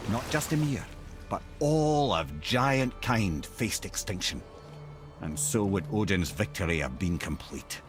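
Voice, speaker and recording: An actor playing a wry, learned old Scottish storyteller in a video game, telling tales theatrically. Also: male voice, on the low side, animated, measured pace, over music. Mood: solemn storytelling.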